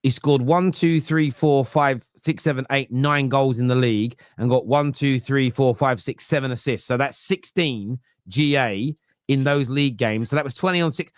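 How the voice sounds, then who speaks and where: A man hosting a football podcast, casual chat that keeps correcting itself and counting stats out loud. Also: mid-range voice, dry recording, studio quality. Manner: rapid, self-correcting, counts stats aloud. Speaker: podcast host.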